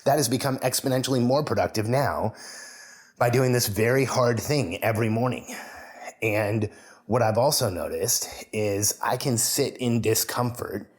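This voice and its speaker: A man hosting a solo podcast, telling a personal story in an earnest, reflective way and slowing down to stress points. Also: medium pitch. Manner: earnest storytelling, slows down for emphasis. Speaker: podcast host.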